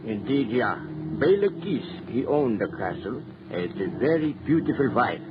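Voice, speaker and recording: A man's voice: mid-range voice, big pitch swings, normal speed, natural voice. A man playing a gossipy villager who relishes a grim local tale, in theatrical old-time radio style. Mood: wistful storytelling. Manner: theatrical storyteller, drawing out suspense. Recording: noisy, muffled old radio recording.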